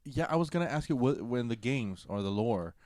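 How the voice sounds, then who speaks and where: A man's voice: mid-range voice, lively intonation, fairly quick, a little mellow, dry recording, clean studio sound. A man co-hosting a podcast in casual chat, chiming in with questions and short remarks. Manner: interjecting, questioning. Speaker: podcast co-host.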